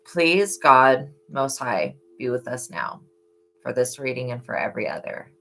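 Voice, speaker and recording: Hoarse woman, very deep voice. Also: very animated, unhurried, fairly bright tone, a little hiss or hum. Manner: earnest, motherly reminders, preachy about faith. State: hoarse from yelling. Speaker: tarot reader. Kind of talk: live-stream tarot reading with spoken prayer.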